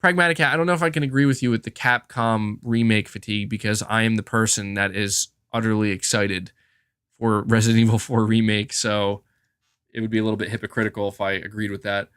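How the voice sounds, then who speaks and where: A man's voice: fairly low pitch, steady tone, brisk, a bit bright, clean tone, no room sound, studio quality. A man hosting a gaming podcast, talking casually and emphatically and repeating words to drive his points home. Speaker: podcast host. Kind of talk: casual gaming commentary, answering chat comments.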